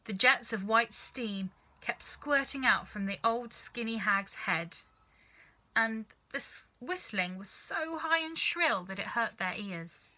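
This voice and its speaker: A woman reading a children's story aloud in an expressive, dramatic narrating style. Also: on the high side, slow, low-quality recording. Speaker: storybook reader.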